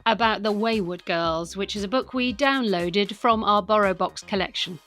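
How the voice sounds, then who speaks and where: A woman's voice: mid-range voice, normal speed, a bit bright, faint music behind. A British woman co-hosting a podcast, moving between chatty presenting and scripted reading. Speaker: podcast co-host.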